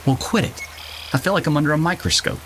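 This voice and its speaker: A man's voice: a little high, quick pace, a bit bright, lightly acted. A man in an audio drama playing a flirtatious, wisecracking drifter with a casual, irreverent way of talking. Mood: cheeky, casual. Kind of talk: naturalistic audio drama acting. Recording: rain-like background noise.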